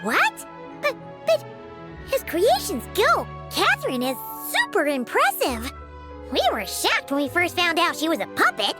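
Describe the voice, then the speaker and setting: A woman's voice: extremely high pitch, unhurried, loud music behind the voice, heavily acted. A woman voicing a tiny, eager, girlish companion creature in a video game cutscene who calls itself "we". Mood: astonished. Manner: eager, excitable, speaks of itself as "we".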